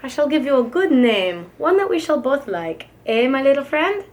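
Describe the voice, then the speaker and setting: A kindly, elderly Russian babushka from a picture book, acted out by a woman reader. She speaks in a fairly high voice, fondly and with open exclamation, as if to a small beloved pet. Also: very animated, normal speed. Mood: tender, concerned. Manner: affectionate, exclamatory, sprinkles in Russian words. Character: kind, tender old Russian grandmother tending a goose.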